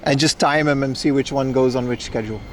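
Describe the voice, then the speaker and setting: A man's voice: mid-range voice, fairly even intonation, fast. A man livestreaming outdoors by a railway platform, chatting casually with viewers and teasing them in mock insults. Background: faint trains and city traffic.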